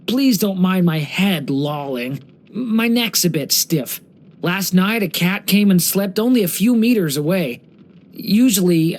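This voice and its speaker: Sweet earnest dragon boy from a video game, polite, kinda hesitant, newly grown up. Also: high voice. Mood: gentle, curious. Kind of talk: video game character voice lines.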